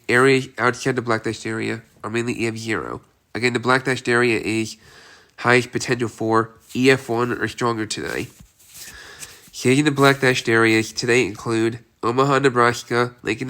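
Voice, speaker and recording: A congested man with a cold, his stuffy voice still bright and sharp, reads a severe weather outlook. He talks in a plain, repetitive way, checking in with "all right" after each list of cities. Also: mid-range voice. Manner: repetitive, reads city lists with frequent "all right" and "okay". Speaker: weather forecaster. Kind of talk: amateur weather outlook video.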